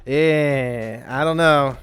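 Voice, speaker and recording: A man's voice: mid-range voice, extremely slow, fairly bright tone. A man hosting a gaming video with casual, wry and jokey let's play commentary. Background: game music.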